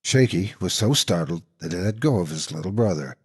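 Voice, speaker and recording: Deep, raspy, animated man telling horror. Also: fairly quick. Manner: expressive, suspenseful. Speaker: horror story narrator. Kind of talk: dramatic scripted storytelling.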